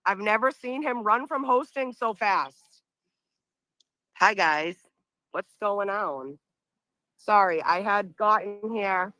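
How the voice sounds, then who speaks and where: A woman with a Boston accent and a deep voice, chatting casually and rambling as a livestream host, laughing often, on a phone outdoors. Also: bright, forceful tone, no echo, poor audio. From Boston area.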